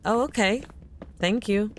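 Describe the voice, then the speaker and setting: A woman's voice: medium pitch, measured pace, slight background noise, lightly acted. A woman playing a bemused, polite visitor to a strange city in an audio drama, understated in manner.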